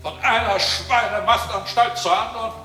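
An actor in a radio drama playing an embittered, pompous performer full of disgust, declaiming in a drawn-out theatrical way. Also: male voice, sits high, fairly even intonation, sharp tone, echoey room, faint music behind. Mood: disgusted, scornful. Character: embittered pompous old-school performer disgusted by provincial life. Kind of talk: German radio drama acting.

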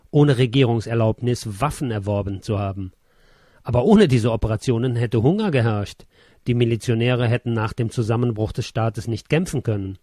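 A man reading a first-person memoir as scripted narration, in a measured, sober way. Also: medium pitch, a little mellow, dry recording, clean studio sound.

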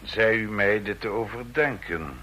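An actor playing a coldly deferential manservant and henchman in a radio play, with formal, measured and quietly menacing delivery. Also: on the low side. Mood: coldly insistent. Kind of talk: Dutch radio play acting.

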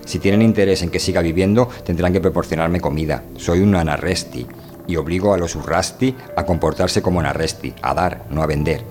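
A man acting a deep-voiced, wary stranger. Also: a little fast, a little mellow, faint music behind, close to an everyday voice. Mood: earnest, frank. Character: wary, stubborn visiting scientist from an austere world. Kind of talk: amateur radio drama reading.

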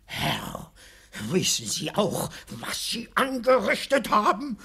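Exasperated pompous businessman in a radio play, husky and tense, blustering. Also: high voice, wildly swooping pitch, slow pace, pushed and bright, full character voice. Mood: outraged. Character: agitated, exasperated bourgeois commercial councillor, male. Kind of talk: German radio play acting.

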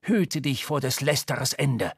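A man's voice: medium pitch, expressive, unhurried, slightly rough, dry recording, studio quality. A man voicing a fanatical, wild-eyed prophet sailor in a radio drama, vehement and ominous as he declaims. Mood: ominous warning. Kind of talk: German radio drama acting.

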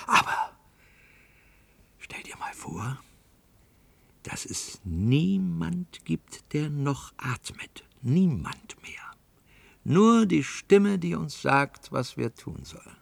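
An actor playing a brooding, weary cellar worker full of doubt in a science-fiction radio play, intense and dropping into hushed whispers. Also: male voice, a little high, gravelly, no room sound, exaggerated voice. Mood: hushed, unsettling.

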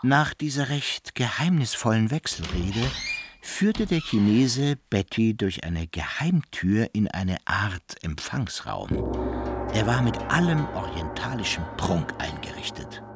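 A man narrating a satirical radio play as a wry, measured storyteller who addresses his listeners. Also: on the low side, big pitch swings. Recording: music behind the voice.

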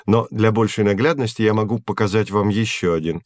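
An actor playing a patient male physics professor in an educational audio drama, explaining clearly and didactically. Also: fairly low pitch, fairly quick, no room sound, lightly acted. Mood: instructive.

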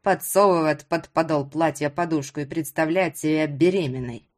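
A stubborn old fisherman grandfather, played by a woman narrator, with a very low pitch. He talks in curt grumbles, with quiet menace, as in an audiobook drama. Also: normal speed, close to an everyday voice. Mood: grumbling, secretive.

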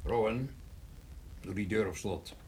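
A man's voice: on the low side, a little slow, a little mellow, a little hiss or hum, close to an everyday voice. An actor playing a curt, controlled, commanding man who questions and gives orders in a radio play. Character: tall intruder, commanding, curt, interrogating man.

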